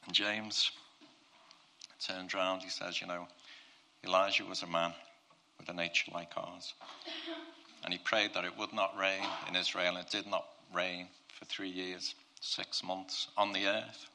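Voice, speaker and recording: A male preacher with a croaky, gravelly voice that has a bright, sharp edge. His pitch sits low and stays flat, with little rise or fall. He preaches earnestly and often circles back to restart his phrases. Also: a little slow, slight background noise. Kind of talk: prayer and sermon to a congregation.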